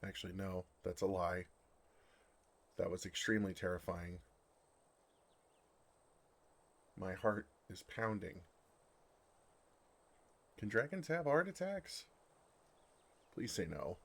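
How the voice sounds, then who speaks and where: A bashful dragon played by a man speaks in a lowish voice with a creaky, gravelly edge. He is earnest and tender, hesitating awkwardly as he talks of love. It is an intimate fantasy ASMR roleplay. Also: lively intonation, measured pace, pauses often, full character voice. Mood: nervous confession.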